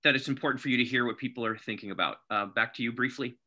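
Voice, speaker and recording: A man hosting a video call event, moving things along in a friendly, brisk way. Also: mid-range voice, sharp tone. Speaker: host.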